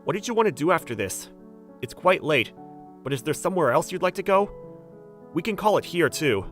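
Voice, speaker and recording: A man acts out a lovestruck, earnest young factory owner in a manga dub. His pitch leaps around freely as he gets flustered. Soft music plays underneath his lines and inner thoughts. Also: sing-song, fairly quick. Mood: earnest, nervous. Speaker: voice actor, lead and narrator.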